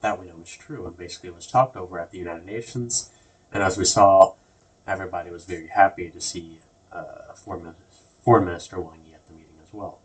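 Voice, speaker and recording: A man's voice: low voice, steady tone, fast talker, far from the mic, rough recording. A man hosting a casual political commentary vlog, rambling with lots of restarts and "like" and "basically". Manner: rambling, repeats words and restarts often; loose everyday phrasing with many "like" and "basically". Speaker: video host.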